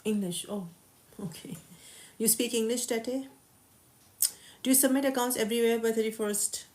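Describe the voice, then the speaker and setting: A woman hosting a casual live stream who reads viewers' questions aloud and answers them briskly and confidently, mixing English and Urdu. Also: fairly high pitch, fairly even intonation, a little mellow. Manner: confident, matter-of-fact market opinions. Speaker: crypto livestream host.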